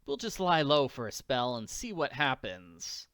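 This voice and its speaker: A man's voice: medium pitch, big pitch swings, unhurried, fairly bright tone, no echo, studio quality. A man voicing a plucky little orphan girl from a comic strip, with slangy, exclamatory talk. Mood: musing.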